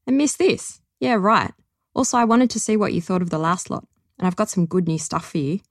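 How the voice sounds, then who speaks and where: A woman reading the part of a young woman who is teasing and excited, her voice pitched well above her own. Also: a little fast, no room sound, clean studio sound, close to an everyday voice. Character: lively young woman, cheerful book lover.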